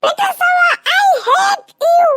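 Man playing a hot-tempered cartoon girl, extremely high pitch-raised squeal, scolding, northern Bengali accent, noisy recording. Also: very slow, pushed and bright, raised voice, exaggerated voice. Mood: scornful, angry. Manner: shrill, scolding, insulting. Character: scornful, hot-tempered young woman rejecting her suitor.

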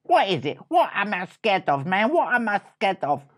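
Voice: medium pitch, very animated, slow, fairly bright tone, voice pushed up, full character voice. A storyteller voicing a cocky young male mouse who boasts in street slang and repeats phrases insistently. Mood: indignant.

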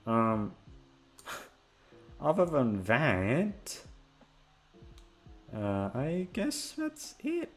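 A young British man chatting casually and hesitantly, meandering, repeating words and gently mocking himself. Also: lowish voice. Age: young adult. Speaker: voice actor talking to listeners. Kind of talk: casual rambling chat.

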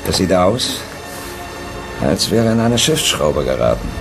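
Cynical, deep-voiced press photographer. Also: male voice, very animated, measured pace, creaky, over music, full character voice. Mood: callous, sarcastic. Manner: sarcastic, flippant. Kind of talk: German radio play acting. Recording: boat engine noise behind.

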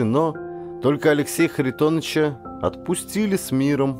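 A man reading first-person literary fiction as an audiobook, with a dramatic, deliberate storytelling delivery. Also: medium pitch. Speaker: audiobook narrator, actor.

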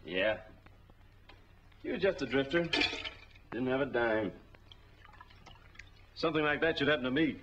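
A man's voice: medium pitch, lively intonation, unhurried, long pauses, noisy background, lightly acted. An actor playing a chatty, deferential hotel bellman in a 1940s film, polite and talkative. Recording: muffled 1940s film soundtrack.

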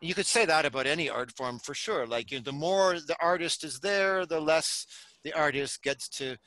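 A man's voice: a little high, normal speed, no echo, rough recording. An older man on a headset mic in a home study, talking animatedly in a casual chat. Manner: animated, gestures while talking, many restarts. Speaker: podcast host. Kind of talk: casual conversation.

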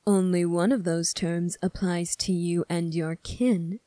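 A woman playing a smug, scornful male demon prince in an ASMR roleplay, speaking in a condescending, deliberate way. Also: fairly low pitch, steady tone, dark tone, no echo, clean studio sound, close to an everyday voice.

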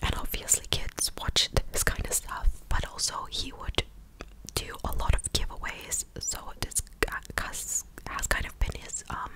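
A young woman speaking in a breathy ASMR whisper close to the microphone, chatting casually and hesitantly as she recaps news. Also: a little slow, poor audio. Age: young adult. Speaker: ASMR content creator. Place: small living room, indoors. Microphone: close desktop condenser microphone.

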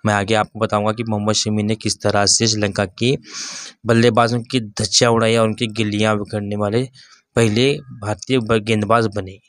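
A man's voice: lowish voice, flat delivery, moderate pace, no echo. A man hosting and narrating sports reaction commentary for his online audience. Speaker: YouTube channel host and narrator. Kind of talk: scripted-sounding reaction commentary to camera audience.

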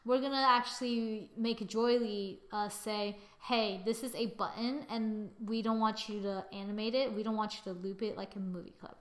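A young woman giving a casual software tutorial, thinking aloud informally with muttered asides as she works. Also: medium pitch, steady tone, normal speed, hardly any pauses. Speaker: tutorial presenter. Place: indoors, voice-over to a screen recording.

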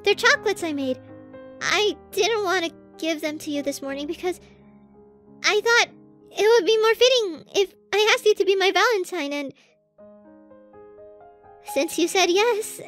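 A woman's voice: very high-pitched, expressive, measured pace, long pauses, a bit bright, faint music behind, full character voice. A woman playing a sweet, shy, lovestruck student girl with a feisty streak in an anime ASMR roleplay. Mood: flustered, tender. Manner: bashful, hesitant, flustered.

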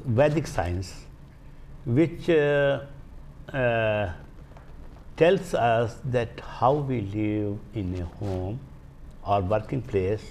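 An older man with an Indian accent explains things as a studio guest. He speaks slowly in a gravelly voice, searching for words and repeating himself. A faint noise sits behind him. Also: on the low side, darkish tone. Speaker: guest, Vastu healer. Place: small indoor TV studio. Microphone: lapel mic.

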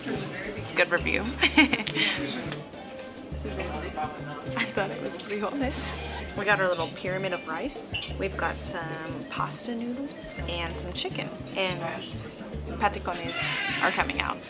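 A woman's voice: mid-range voice, animated, unhurried, long pauses, loud music behind the voice. A young woman co-hosting a travel food vlog, upbeat and chatty, talking to a handheld camera in a car and a small restaurant. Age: young adult. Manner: upbeat, chatty, trails off into fillers. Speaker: travel vlogger co-host. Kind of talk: casual vlog chat.

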